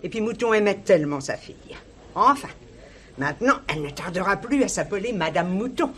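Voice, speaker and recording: A middle-aged merchant's wife, played by an actress, gossips with open disdain. Her voice swings animatedly in pitch. She is bossy and resentful, in the manner of a radio crime drama. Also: lively intonation, a little fast, natural voice. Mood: disdainful.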